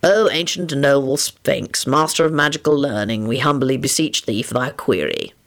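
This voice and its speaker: A woman's voice: fairly low pitch, animated, brisk, natural voice. A woman voicing a bookish, earnest young man in a story, easily exasperated, by turns weary and pleading. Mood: weary, impatient.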